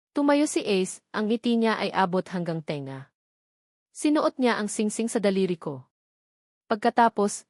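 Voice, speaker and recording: A woman reading a scripted first-person love story as narrator, with sentimental, measured phrasing. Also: mid-range voice, darkish tone, no echo, studio quality.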